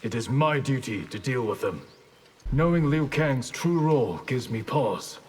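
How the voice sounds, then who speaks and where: An actor playing a dutiful, earnest male ninja warrior in a video game, serious and formal, with a husky voice. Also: medium pitch, animated, a little slow. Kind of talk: video game character dialogue.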